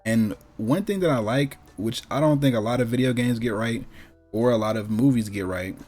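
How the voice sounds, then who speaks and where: Male host, deeper-than-middling to average pitch, casual gaming chat, slangy, profane, opinionated, music behind. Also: normal speed, darkish tone. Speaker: host of a gaming talk show. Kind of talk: casual chat about video game movies and anime.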